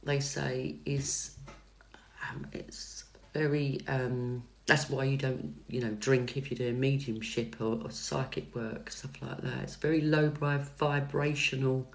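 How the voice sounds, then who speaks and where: A middle-aged woman chats with viewers during a livestreamed tarot reading, her voice soft and calming. She speaks slowly, with a gravelly texture, pausing on fillers and restarting sentences as she answers comments. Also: a bass voice. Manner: hesitant, many fillers and restarts. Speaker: psychic tarot reader. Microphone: phone livestream mic.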